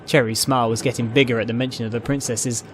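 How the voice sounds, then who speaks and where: A man's voice: mid-range voice, steady tone, fast, noisy background. Man narrating a story over faint ambience. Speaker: narrator, fan fiction reader. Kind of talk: scripted dramatic story narration.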